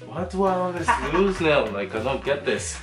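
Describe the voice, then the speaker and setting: A man's voice: a little high, very animated, normal speed, over music. A man in his twenties chatting casually and playfully as a vlog co-host. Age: 20s. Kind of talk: casual playful challenge banter. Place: small bedroom, indoors. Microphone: camera a couple of metres away. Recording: roomy, distant camera mic.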